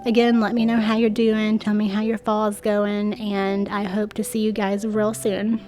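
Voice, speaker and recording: A woman's voice: mid-range voice, completely flat delivery, moderate pace, dark tone, soft background music. A woman in her thirties with a stuffy, congested voice, chatting to viewers in a friendly home decor voice-over. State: stuffy nose from a cold. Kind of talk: voice-over narration. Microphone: voice-over mic.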